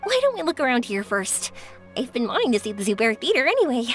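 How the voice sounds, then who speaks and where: A woman's voice: on the high side, very animated, fast, faint music behind. A woman voicing a polite, curious young traveling girl in a video game, earnest and considerate. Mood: bright, friendly. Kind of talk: video game character acting.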